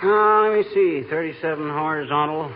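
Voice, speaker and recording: A man's voice: on the high side, normal speed, natural voice. A man playing an easygoing, wisecracking lazy husband in a 1940s radio comedy, breezy and offhand. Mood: absent-minded, breezy. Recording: noisy, muffled old radio broadcast.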